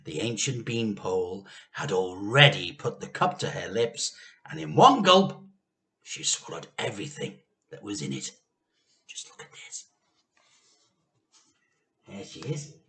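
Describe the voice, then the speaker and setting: A British man, a teacher reading a children's story aloud in a dramatic, deliberate way. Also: on the low side, sing-song, sharp tone. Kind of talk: animated children's storybook narration.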